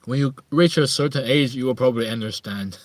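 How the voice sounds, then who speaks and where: A man from northeast China with a Chinese accent chats casually as a livestream host, musing haltingly and often repeating his phrases. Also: mid-range voice, no echo. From Harbin, northeast China. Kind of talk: casual live chat monologue.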